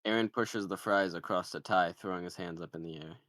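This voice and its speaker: A man's voice: deep voice, a little fast, a bit bright, no room sound, studio quality. A man narrating the stage directions of a comedy screenplay in a scripted read.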